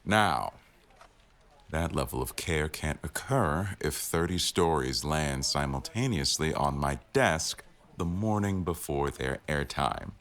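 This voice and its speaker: Very low male voice, acted: demanding newsroom boss for audio roleplay, dry wit, firm authority. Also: expressive, takes it slowly, a bit bright, exaggerated voice. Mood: brisk, businesslike. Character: exacting, sardonic male executive news producer, the boss. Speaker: voice actor in a boyfriend audio roleplay. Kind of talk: scripted ASMR roleplay, addressing staff at a meeting.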